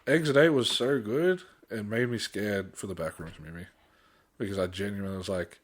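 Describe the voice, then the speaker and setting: A man's voice: fairly low pitch, normal speed. A man chatting casually as a podcast host, in an informal, mildly sweary way. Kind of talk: casual chat.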